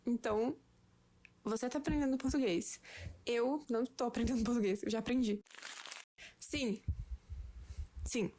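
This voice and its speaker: Playful Brazilian woman teaching slowly. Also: fairly high pitch, fairly even intonation, dark tone. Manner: slow, patient, playful explaining. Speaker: language teacher for a friend. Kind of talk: casual home-made lesson.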